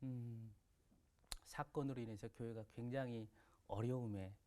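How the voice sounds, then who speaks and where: Chatty pastor guest, steady voice. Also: male voice, mid-range voice, a little slow. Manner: chatty, laughs often, frequent fillers. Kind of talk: relaxed talk-show storytelling. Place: broadcast studio.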